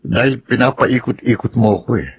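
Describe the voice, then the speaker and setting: An actor playing a restless, wary husband in a Filipino radio drama. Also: male voice, mid-range voice, a little fast, gravelly, breathy edge, muffled recording. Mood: wary, confrontational.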